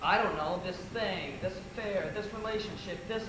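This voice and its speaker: A man's voice: high voice, normal speed, natural voice. Young man acting jealous secret boyfriend, sarcastic, impatient, amateur stage drama, roomy noisy recording. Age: young adult. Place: theater stage, indoors. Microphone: distant audience camcorder. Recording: echoey, noisy distant camcorder audio.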